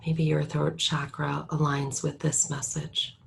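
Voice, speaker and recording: A woman leading a guided meditation in a calm, unhurried way, her voice drifting between a soft murmur and a whisper. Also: low-pitched, creaky, slight background noise.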